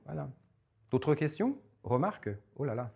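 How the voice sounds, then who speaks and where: A man's voice: on the high side, expressive, normal speed, creaky, darkish tone, husky, dull audio. Hesitant man lecturing. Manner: hesitant, many repeated words and fillers. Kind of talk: public lecture with audience questions.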